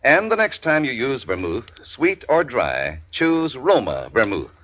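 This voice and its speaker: A man reading a 1940s radio commercial in a smooth, persuasive way. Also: mid-range voice, huge pitch swings, a little slow, fairly bright tone. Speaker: commercial announcer.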